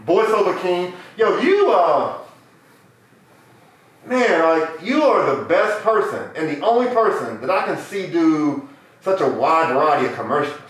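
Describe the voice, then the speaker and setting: A man's voice: a little high, very animated, unhurried. A man hosting a podcast interview, talking in loose, joking, slangy banter. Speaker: podcast host. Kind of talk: casual interview chat. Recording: very echoey room.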